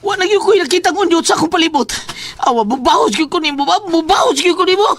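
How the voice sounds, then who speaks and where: Casting: male actor as excitable, high-voiced whiner, a comic coward for horror audio drama, on a noisy homemade track. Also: fast talker. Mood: aggrieved. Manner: whining, exclamatory, excitable.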